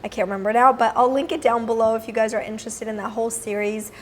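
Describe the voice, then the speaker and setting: Raspy-voiced young woman vlogger. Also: on the high side, fairly even intonation, fast talker, slight background noise. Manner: chatty, upbeat, lots of fillers. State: raspy voice from a recent illness. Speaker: beauty vlogger. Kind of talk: casual product review to camera. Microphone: clip-on mic on top.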